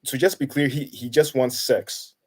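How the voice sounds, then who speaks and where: A man in his thirties from Kenya talks in a relaxed conversation. He pauses often, restarts his sentences and searches for the right word, and his voice stays at an ordinary middle pitch. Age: 30s. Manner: halting, many restarts and fillers. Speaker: guest. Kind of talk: casual conversation. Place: Denmark.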